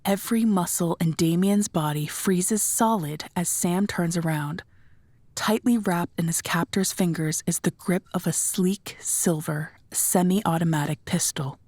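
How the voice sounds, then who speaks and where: A woman's voice: lowish voice, measured pace, a little mellow, no room sound. A woman reading scripted third-person narration for an audio drama.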